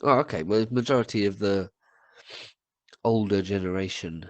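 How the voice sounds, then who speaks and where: A man's voice: fairly low pitch, moderate pace, a little mellow, no echo, dull audio. Rambling man with a cold. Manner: rambling, swears, stumbles and repeats words. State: has a cold. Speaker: podcast co-host. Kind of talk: casual chat.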